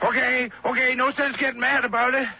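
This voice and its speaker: A man's voice: extremely high pitch, level tone, fairly quick, vocal fry. An actor playing a guilty, scheming man alone at sea, stammering and muttering nervously to himself in an old-time radio drama. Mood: nervous, evasive. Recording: dull radio drama recording, heavy noise.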